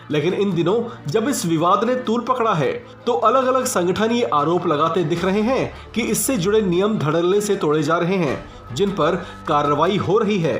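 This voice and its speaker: A man with a notably high voice reads a scripted news report. His delivery is emphatic and rhetorical, in the manner of a presenter. The voice sounds clearly roomy, with music playing behind it.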